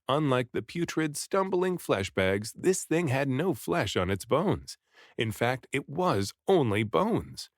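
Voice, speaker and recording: Man, expressive read-aloud narration, lively intonation, lowish-to-middle voice, dramatic storytelling, no room echo. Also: clean studio sound. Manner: dramatic, animated delivery with acted dialogue. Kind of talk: expressive first-person audiobook storytelling.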